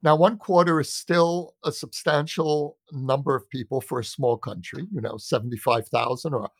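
An American man in an earnest political discussion, speaking as a guest with a deliberate, emphatic manner that repeats phrases for weight. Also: medium pitch, steady tone, no room sound. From United States. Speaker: journalist and author interviewed as guest.

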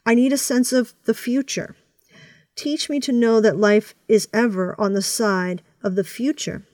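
A woman hosting a reflective devotional podcast, speaking earnestly and with deliberate phrasing. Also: a little high, fairly even intonation, darkish tone, dry recording, studio quality. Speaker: podcast host. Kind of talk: reflective spoken devotional with read prayer.

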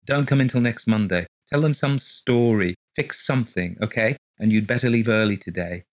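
A man reading an audiobook, voicing an impatient woman boss who talks briskly. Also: on the low side, no echo, natural voice. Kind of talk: audiobook character voice.

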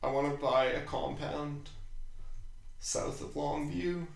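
Raspy man in a very echoey room. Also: a little high, steady tone, slow pace. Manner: profane, irreverent. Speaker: podcast host. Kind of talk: casual chat.

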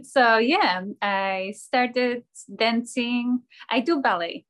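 Woman, English, cheerful, slightly high voice, interview guest. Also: very slow, a bit bright. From Slovakia. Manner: cheerful, laughs often, drops articles. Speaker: ballet dancer and personal trainer. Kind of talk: casual interview answers.

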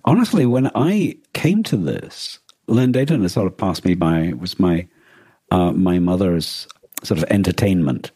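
A man co-hosting a podcast, discussing books casually in a measured, considered and slightly tentative way. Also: low voice, expressive, warm tone, breathy edge, dry recording. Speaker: podcast co-host.

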